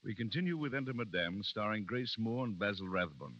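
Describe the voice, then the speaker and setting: A man's voice: on the low side, expressive, a little fast. A man narrating a scripted 1930s radio drama as its announcer. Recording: dull vintage 1930s radio recording. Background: music.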